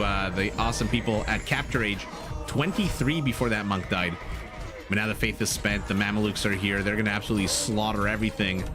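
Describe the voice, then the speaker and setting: A man giving excitable live commentary on a strategy game, his voice raspy and his throat dry, with fillers and laughs. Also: medium pitch. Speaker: game caster. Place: home room.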